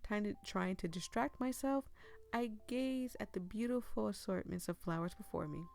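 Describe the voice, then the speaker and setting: Hoarse woman with a gravelly voice. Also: mid-range voice, normal speed, darkish tone. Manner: chatty, giggly, reads narration aloud. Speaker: game streamer. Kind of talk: reading a story game aloud with commentary.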